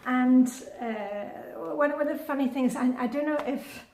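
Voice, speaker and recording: A woman's voice: high voice, normal speed, darkish tone, a little hiss or hum. Chatty woman in her fifties, echoey room. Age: 50s. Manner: chatty, upbeat, with many fillers and restarts. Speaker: vlogger. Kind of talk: casual vlog chat. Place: indoors at home, with a short outdoor clip in the rain.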